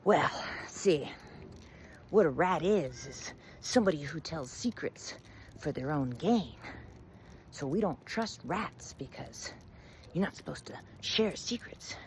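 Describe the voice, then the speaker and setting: An old woman of a folk tale played by a woman storyteller talks plainly and deliberately. Her voice moves up and down expressively, with firm emphasis on her words. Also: sits low, expressive, a little mellow, a little hiss or hum. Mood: matter-of-fact. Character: old woman, blunt and wary, later humbled. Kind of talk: oral storytelling.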